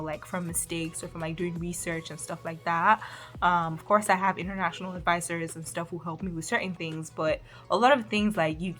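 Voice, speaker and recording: Nigerian woman in her twenties, casual vlogger, lowish voice, animated and exasperated, faint music underneath. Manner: animated, laughs often, exasperated asides. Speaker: medical student vlogger. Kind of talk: casual vlog storytelling.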